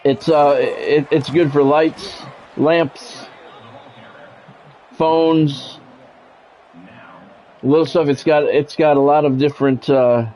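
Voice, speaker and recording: A man's voice: fairly high pitch, fairly even intonation, takes it slowly, long pauses, mellow, slight background noise, poor audio. A middle-aged man doing live football game commentary mixed with casual chat, talking in a blunt, profane, conversational way. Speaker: game streamer and play-by-play commentator.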